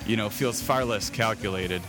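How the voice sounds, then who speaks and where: Informal, jokey man over clear background music. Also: on the low side, moderate pace. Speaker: podcast host. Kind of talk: casual podcast chat. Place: remote Skype call.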